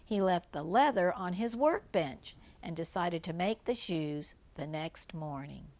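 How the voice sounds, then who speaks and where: Older female storybook reader, grandmotherly, slow delivery, animated intonation, simple asides to a young listener. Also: on the low side. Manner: simple explanations, gentle questions to a young listener. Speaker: grandmother reading a storybook to children. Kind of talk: read-aloud children's storybook with chatty asides.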